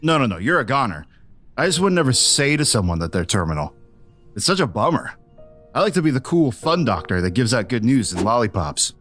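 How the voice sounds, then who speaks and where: Man playing a breezy, lively cartoon doctor. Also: mid-range voice, a little fast, faint music behind. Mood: flippant, cheerful. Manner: flippant, casual. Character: breezy, careless cartoon doctor, cheerfully tactless. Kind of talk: cartoon voice acting.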